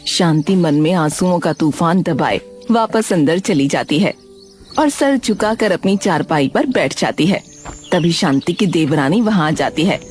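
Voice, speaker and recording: A woman narrating a cartoon moral story in an expressive, scripted storytelling style. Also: on the low side, unhurried, soft background music.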